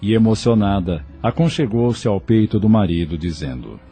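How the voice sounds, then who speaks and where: A man's voice: fairly low pitch, animated, moderate pace, mellow, breathy edge, faint music behind. A man narrating a scripted audio drama as storyteller.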